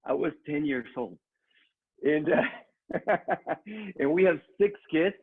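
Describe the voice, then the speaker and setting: A middle-aged man with a Mexican accent speaks in a fairly high voice as an upbeat motivational trainer. He talks straight to his online audience. The sound is thin and narrow, like a phone line. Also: expressive, takes it slowly, slightly rough. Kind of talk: motivational business presentation.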